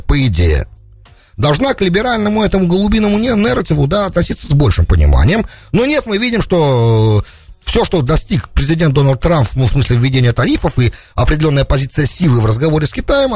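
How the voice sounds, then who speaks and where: A man's voice: a little high, husky, no echo. A middle-aged man giving off-the-cuff political commentary as a radio host, close on a studio mic. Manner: peppers speech with English terms. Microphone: close studio broadcast mic on boom arm.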